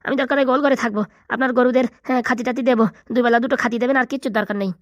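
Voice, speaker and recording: Woman doing a desperate poor runaway boy in his youth, cartoon, raised pitch, dry close sound, meek. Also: fairly even intonation, very fast, no echo, natural voice. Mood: pleading. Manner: pleading, meek. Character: poor, lovelorn, desperate young runaway man. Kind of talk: comedy cartoon dialogue.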